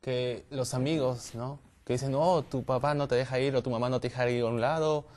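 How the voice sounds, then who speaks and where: A man's voice: mid-range voice, fairly even intonation, brisk, darkish tone. Casual young man on a panel. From United States. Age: young adult. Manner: casual, adds tag questions. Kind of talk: panel discussion.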